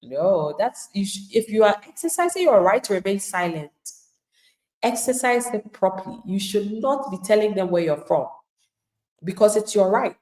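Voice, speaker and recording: A woman's voice: medium pitch, animated, normal speed, a little mellow, roomy sound, poor audio. A woman immigration attorney giving live legal advice to viewers in an emphatic, plain-spoken way, acting out the replies of people she describes.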